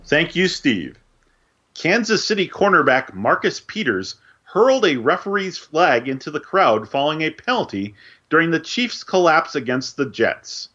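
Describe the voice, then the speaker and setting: A man co-hosting a sports podcast, cracking dry jokes in chat and reading the news in a measured way. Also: fairly high pitch, very animated, sharp tone. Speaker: podcast co-host. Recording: dull lo-fi mic.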